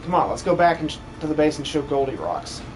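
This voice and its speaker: A man voicing an excitable, inquisitive adventurer sidekick in an amateur video game dub, chatty and theatrical. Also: a little high, fast, music in the background. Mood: curious, eager.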